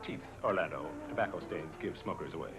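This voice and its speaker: Man playing a low-voiced snooty maitre d'. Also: fast, natural voice. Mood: snooty, knowing. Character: discerning, snooty restaurant maitre d'. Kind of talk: acted comic advertisement.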